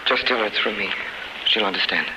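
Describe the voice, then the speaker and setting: An actor playing an anguished, hot-tempered young condemned prisoner in a theatrical 1930s radio drama style. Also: male voice, fairly low pitch, fairly quick, roomy sound, natural voice. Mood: quiet, resigned. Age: young adult. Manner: theatrical, impassioned. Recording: noisy old 1930s radio recording.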